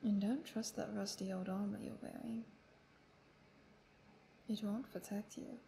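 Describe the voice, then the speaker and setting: A woman playing a playful, cruel female vampire in a roleplay audio drama, taunting and seductive in a breathy half-whisper. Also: medium pitch, measured pace, dark tone, slight background noise. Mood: taunting, threatening.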